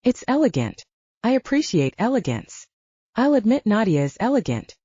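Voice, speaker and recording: A woman voicing a calm, protective and courteous male bodyguard in an audiobook. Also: fairly high pitch, moderate pace, breathy edge, no room sound, dull audio, voice pushed up. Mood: gallant.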